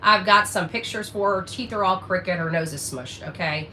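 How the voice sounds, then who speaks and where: A woman's voice: on the low side, moderate pace, bright, forceful tone. A woman ranting casually in a blunt, defensive, profane manner on noisy livestream audio.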